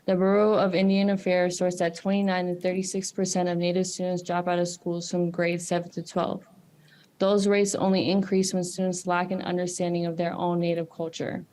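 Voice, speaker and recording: A young woman reads her prepared testimony to a committee in an earnest, steady way. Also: fairly low pitch, moderate pace, slight background noise. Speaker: student testifier.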